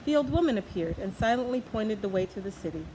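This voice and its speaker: A woman's voice: medium pitch, animated, fast, mellow. Woman reading a storybook over background noise. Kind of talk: children's storybook narration. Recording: clearly noisy background.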